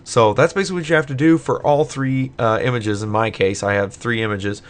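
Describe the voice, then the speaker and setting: A man casually walking through code in a screen-recorded tutorial, his voice a little hoarse from a long night of recording, with many fillers as he thinks aloud. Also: mid-range voice, fairly even intonation, fairly quick. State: voice getting hoarse late at night. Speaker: tutorial maker.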